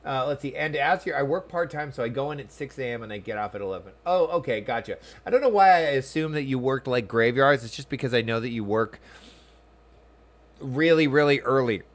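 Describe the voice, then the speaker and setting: American man, game streamer, medium pitch, casual, chatty, rambling, self-deprecating, answering chat. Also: animated, a little fast. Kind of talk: casual livestream chat over gameplay. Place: small room at home.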